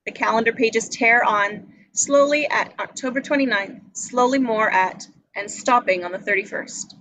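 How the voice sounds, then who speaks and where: A woman's voice: fairly high pitch, moderate pace, fairly bright tone, low-quality recording. A woman reading screenplay action descriptions aloud as scripted narration at a table read. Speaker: stage directions reader. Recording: noticeable background noise.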